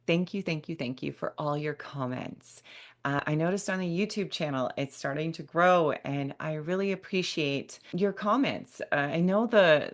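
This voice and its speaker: A woman's voice: low-pitched, fairly bright tone. A woman in her fifties speaking earnestly and emphatically to listeners as the host of a solo podcast. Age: 50s. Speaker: podcast host and bereavement specialist.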